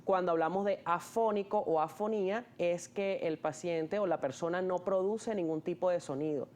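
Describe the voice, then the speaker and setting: A man's voice: high voice, moderate pace, a little mellow. A man, an ear, nose and throat doctor, patiently explaining terms in an interview, often restarting his sentences with fillers. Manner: hesitant, many restarts and fillers.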